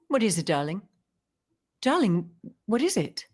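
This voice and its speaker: A woman playing a playful, curious, sharp-witted wife who coaxes and teases in a vintage radio drama. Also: mid-range voice, sing-song, measured pace, breathy edge. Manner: coaxing, inquisitive, teasing.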